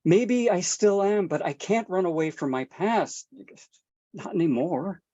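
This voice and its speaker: A man's voice: high-pitched, lively intonation, warm tone, lo-fi sound. An actor at a table read playing a guilt-ridden estranged father who stammers. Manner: halting, stammering.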